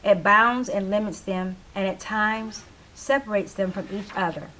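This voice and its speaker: A woman's voice: mid-range voice, unhurried, sharp tone, rough recording. A woman reading scripted stage directions as the narrator of a play reading.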